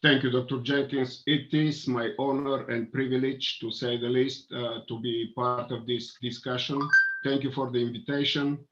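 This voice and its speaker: A man in his sixties from Bulgaria with a Bulgarian accent, speaking as a panelist on a webinar from a home office, in a measured way with many pauses. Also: medium pitch, level tone, fairly bright tone, slight background noise. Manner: measured, hesitant with fillers. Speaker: panelist, engineer. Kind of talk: webinar presentation. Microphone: video call webcam. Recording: dull lo-fi video call audio.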